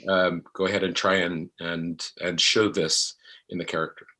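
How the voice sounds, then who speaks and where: A middle-aged man, an actor talking as an interview guest, reflective and halting as he searches for words. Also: sits low, flat delivery, pushed and bright.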